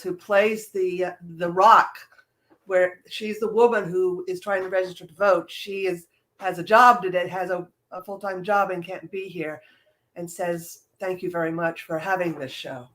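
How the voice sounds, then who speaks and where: A woman, a theater director being interviewed, answering in a halting, measured way. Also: fairly low pitch, fairly even intonation, a bit bright, rough recording. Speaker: stage play director, interview guest.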